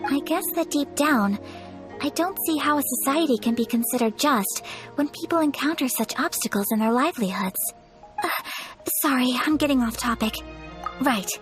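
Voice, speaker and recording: An actress playing a polite young noblewoman in a video game, formal, measured and gentle. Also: high-pitched. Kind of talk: video game character acting. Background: music.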